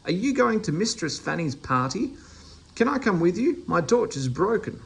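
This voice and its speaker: A man's voice: sits high, big pitch swings, normal speed, creaky, breathy edge, voice pushed up, heavily acted. A man voicing a foolish, fretful, grumbling storybook character who exclaims and fusses. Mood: eager. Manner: exclaiming, fussy.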